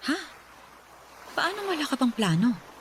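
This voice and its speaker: A woman's voice: high voice, sing-song, a little slow, a little hiss or hum, full character voice. A woman playing a stern, nagging widowed mother in a radio drama, scolding and lecturing her daughter.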